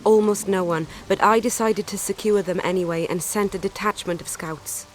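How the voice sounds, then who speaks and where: A woman's voice: mid-range voice, normal speed, slight background noise, natural voice. A woman playing a composed, decisive young rebel commander in a fantasy video game, speaking firmly. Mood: grave, decisive. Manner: composed, firm, businesslike.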